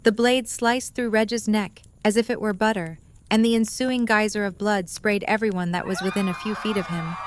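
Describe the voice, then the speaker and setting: A woman's voice: mid-range voice, steady tone, moderate pace, few pauses, dry recording. A woman reading scripted story narration as the narrator of an audio drama.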